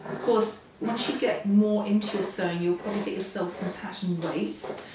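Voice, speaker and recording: A woman with a hoarse voice, getting over a cold, teaching a hands-on sewing lesson in a chatty, self-deprecating, step-by-step way. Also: medium pitch, fairly even intonation, normal speed, recorded from a distance. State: hoarse, losing her voice from a cold. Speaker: sewing instructor. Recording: echoey room, off-mic, faint noise.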